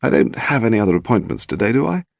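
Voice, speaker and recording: A male reader plays a scholarly gentleman of sixty-odd in an audiobook story. His delivery swings widely in pitch, with a creaky edge and donnish, exclamatory phrasing. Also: sing-song, fast talker, no echo, studio quality, full character voice. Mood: mild, dry. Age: 60s. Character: distinguished male historian of sixty-three, donnish, easily delighted.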